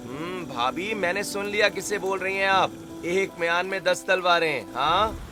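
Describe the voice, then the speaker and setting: A man's voice: high-pitched, normal speed, close to an everyday voice. A man playing a teasing, demanding young brother-in-law in a Hindi family story. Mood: teasing, accusing. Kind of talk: Hindi audio story dialogue acting.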